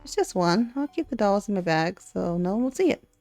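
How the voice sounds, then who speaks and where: A woman's voice: mid-range voice, big pitch swings, fairly quick, a little mellow, no room sound, soft background music. A woman voicing a shy, easily flustered young schoolboy in a visual novel, in a tentative, mild way. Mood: musing.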